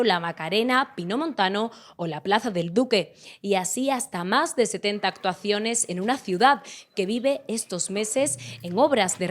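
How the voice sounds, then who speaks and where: A woman reporter, reading a voice-over in a steady, listing way. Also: mid-range voice.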